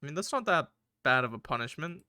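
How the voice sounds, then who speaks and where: A man's voice: a little high, animated, quick pace, sharp tone, clean tone, no echo, clean studio sound. A man streaming a game with casual, jokey, slangy commentary. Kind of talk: casual commentary mixed with read-aloud narration.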